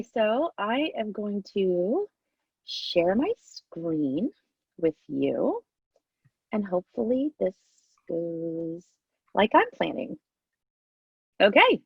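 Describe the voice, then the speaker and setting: A woman's voice: mid-range voice, husky, dry recording, muffled recording. A woman in her fifties from New Jersey with a Southern New Jersey accent, giving a chatty talk over a video call and laughing often. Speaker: guest speaker. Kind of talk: casual talk, online presentation.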